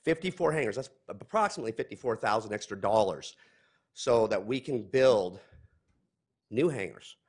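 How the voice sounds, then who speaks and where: A man in his forties giving a heated, accusing public comment at a podium mic in a large council chamber. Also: medium pitch.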